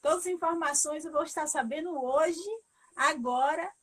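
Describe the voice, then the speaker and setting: A woman's voice: high-pitched, moderate pace. A Brazilian woman hosting a casual live chat, effusive and repeating phrases for emphasis. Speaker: live stream host.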